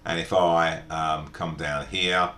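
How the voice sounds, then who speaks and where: A man narrating an informal step-by-step software tutorial in a methodical, explanatory way. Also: level tone, takes it slowly. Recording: echoey room, background hiss and rumble.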